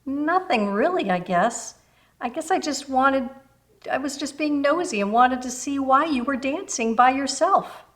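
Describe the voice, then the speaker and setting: A woman's voice: high voice, animated, a little slow, pitched above the performer's own voice. A woman voicing a shy, polite, self-doubting young girl in a children's storybook, hesitant and deferential. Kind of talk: children's storybook read-aloud.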